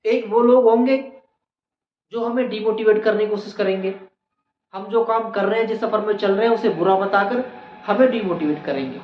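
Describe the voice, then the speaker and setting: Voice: mid-range voice, moderate pace. A channel host giving an explainer on exam procedure, repeating key points for emphasis. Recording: echoey room, dull lo-fi, faint noise.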